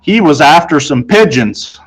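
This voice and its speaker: A man reading a children's novel aloud in an expressive, conversational way, telling it as a boy in the first person. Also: fairly high pitch, a little slow, crowd noise. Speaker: storybook reader.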